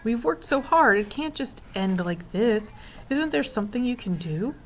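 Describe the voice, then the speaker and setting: A woman's voice: mid-range voice, lively intonation, normal speed, soft background music, natural voice, poor audio. A woman voicing an earnest, tearful young girl in a storybook, speaking in an imploring way. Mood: tearful, pleading.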